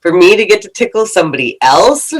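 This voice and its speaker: American woman, young adult, podcast guest, bubbly, laughs often, lowish voice. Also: expressive, brisk, breathy edge. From United States. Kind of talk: casual interview chat.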